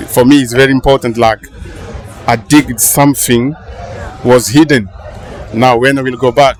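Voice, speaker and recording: A man from Angola, answering interview questions in a halting way, often restarting as he searches for words. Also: mid-range voice. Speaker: interviewee, funeral visitor. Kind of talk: casual street interview. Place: outdoors at a crowded palace funeral. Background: crowd murmur.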